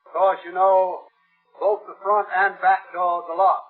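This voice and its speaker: An actor playing a quietly menacing, controlling man in a 1950s radio thriller, speaking ominously. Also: high-pitched, flat delivery, measured pace. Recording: narrow vintage radio recording, music behind.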